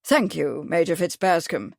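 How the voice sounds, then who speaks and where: A woman's voice: fairly low pitch, lively intonation, unhurried, creaky, dry recording, studio quality. A woman voicing a brusque, stubborn male company manager who growls his refusals. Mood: curt. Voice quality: brusque, forceful.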